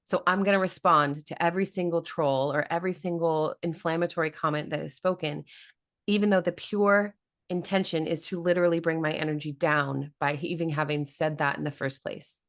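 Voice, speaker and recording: A woman's voice: sits low, fairly even intonation, a little fast. A woman hosting a podcast, thinking aloud and asking long, reflective questions in a casual interview. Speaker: podcast host.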